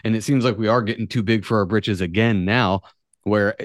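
A man's voice: on the low side, fairly even intonation, a little fast, no echo, clean studio sound. A man hosting a podcast, talking casually and musing speculatively, with some offhand swearing. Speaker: podcast host. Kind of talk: casual conversational podcast talk.

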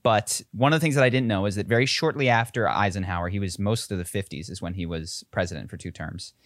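A man co-hosting a podcast, telling a story in casual chat with an enthusiastic, quick way of talking. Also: on the low side, no echo, clean studio sound. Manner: enthusiastic storytelling, quick asides, laughs easily. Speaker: podcast co-host.

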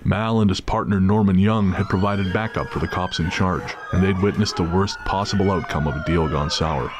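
A man's voice: deep voice, fairly even intonation, moderate pace, noisy background. A man narrating a scripted audio drama as storyteller.

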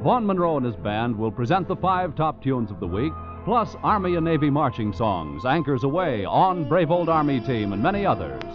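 A man's voice: mid-range voice, big pitch swings, moderate pace, few pauses, over music. A man announcing for 1940s network radio with a formal, polished, promotional scripted delivery. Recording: muffled old 1940s radio recording.

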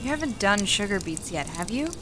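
A woman's voice: mid-range voice, sing-song, fairly quick, sharp tone, slight background noise. An actress playing an earnest young American woman labor organizer in an audio drama, impassioned, idealistic and persuasive. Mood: urging, challenging. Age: young adult.

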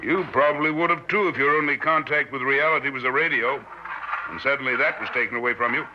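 A muffled, noisy old radio recording carries an actor as a grave doctor in charge. He is authoritative yet humane, speaking deliberately and emphatically at a middle pitch in 1960s drama style. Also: natural voice. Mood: grave, reflective.

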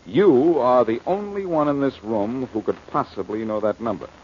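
An actor playing a suave, wisecracking amateur detective in an old-time radio drama, glib, teasing and self-assured. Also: male voice, medium pitch, normal speed, darkish tone, natural voice. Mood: cocky, confident. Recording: muffled, noisy old radio recording.

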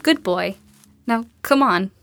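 A woman's voice: on the high side, lively intonation, slow pace, vocal fry, over music, heavily acted. A woman playing a tough, determined, commanding survivor in an audio drama.

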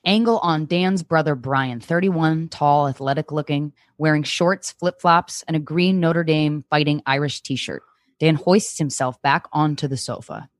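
A woman's voice: sits low, a little slow, no echo, clean studio sound. A woman comedian guesting, chatting casually and reading screenplay stage directions aloud.

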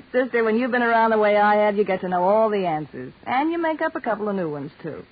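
An actress playing a brazen, scheming, fading American film star in a 1940s radio drama, slangy and mocking. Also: female voice, medium pitch, animated, brisk, lightly acted. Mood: cynical, brazen. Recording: muffled 1940s radio recording.

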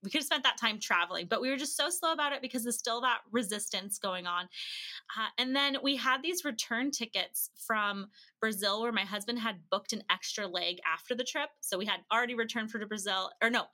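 Bright, high-voiced chatty woman. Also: a little fast. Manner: chatty, laughs easily. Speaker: podcast guest. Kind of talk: casual anecdotal storytelling in an interview.

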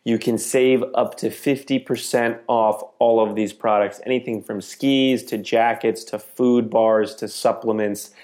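A man's voice: lowish voice, darkish tone. A man hosting a podcast, speaking in a casual, chatty way to listeners and his guest. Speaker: podcast host.

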